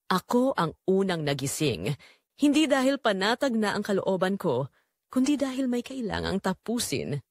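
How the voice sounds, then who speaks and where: Woman acting as a hurt but quietly strong filipino mom, solemn audio drama narration, really expressive pitch, dry close sound. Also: normal speed, dry recording. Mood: sorrowful, weary. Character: betrayed middle-aged mother, wounded but quietly resolute. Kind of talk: dramatic first-person audio story narration.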